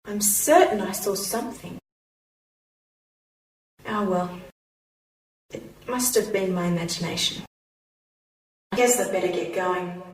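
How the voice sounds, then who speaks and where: A woman's voice: lowish voice, unhurried, pauses often, natural voice. A woman playing a determined, crafty young snooper in an amateur radio play, earnest and persuasive. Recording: echoey, distant amateur recording, faint hum.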